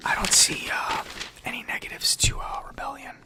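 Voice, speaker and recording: Whispering man, halting and thoughtful. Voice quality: breathy whisper and soft speech. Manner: hesitant, pausing, thoughtful. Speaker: ASMR roleplayer. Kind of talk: whispered ASMR sci-fi roleplay, dictating a journal entry. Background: computer keyboard typing.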